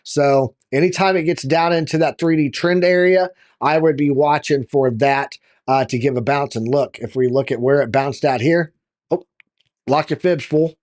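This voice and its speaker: A slightly hoarse man hosting a live market show, giving casual chart commentary and addressing viewers informally like buddies. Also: on the high side, normal speed, darkish tone.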